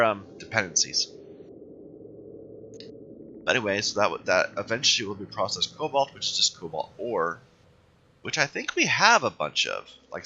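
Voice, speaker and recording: Hoarse man thinking aloud over gameplay. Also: fairly low pitch, pushed and bright, highs cut off. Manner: thinking aloud, self-correcting. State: hoarse from being sick. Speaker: let's play host. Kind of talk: casual gameplay commentary.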